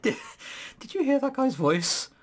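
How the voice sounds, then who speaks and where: A man's voice: very high voice, very animated, measured pace. A man giving casual, sarcastic gaming commentary with some swearing, sometimes reading game text aloud. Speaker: let's play host.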